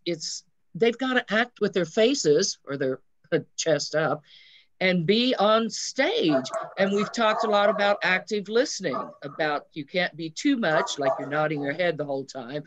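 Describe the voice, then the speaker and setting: A woman's voice: on the low side, lively intonation, unhurried, lo-fi sound, noisy background. A woman theatre director and drama teacher explaining her craft in an interview, instructive and emphatic.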